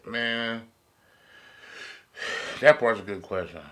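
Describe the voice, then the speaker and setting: A man's voice: mid-range voice, slow, fairly bright tone. An American man talking as a panelist in a casual podcast discussion, informal and slangy, often repeating his phrases.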